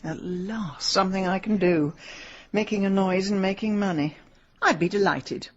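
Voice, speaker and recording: An actress playing a sharp-tongued, determined middle-aged London woman in a radio drama, brisk and sardonic. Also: medium pitch, slightly rough, muffled recording, natural voice. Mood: pleased. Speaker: actor in lead role.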